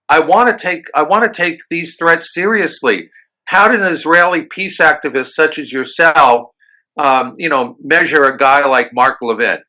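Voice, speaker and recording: American guy hosting a radio chat, keeps stumbling on uh and um, bright edgy voice. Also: on the high side. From United States. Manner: halting, many fillers and restarts. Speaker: radio show host. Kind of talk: casual radio interview.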